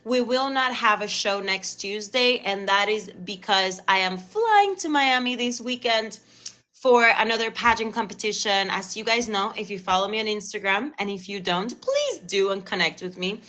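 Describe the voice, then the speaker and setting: A woman's voice: medium pitch, expressive, moderate pace, pushed and bright. A woman in her thirties from Cuba with a Cuban accent, hosting a live stream talk show in an effusive, chatty way. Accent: Cuban Spanish. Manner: effusive and chatty, repeats thanks.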